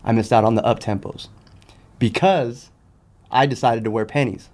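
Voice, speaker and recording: A man's voice: medium pitch, moderate pace, darkish tone. An American man in his thirties chatting casually as a podcast guest, blunt and slangy, often repeating himself. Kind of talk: casual chat.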